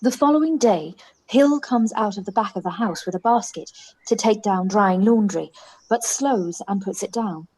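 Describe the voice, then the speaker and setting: A woman's voice: mid-range voice, moderate pace, darkish tone, breathy edge, poor audio. A woman reading scripted narration and stage directions for a radio play.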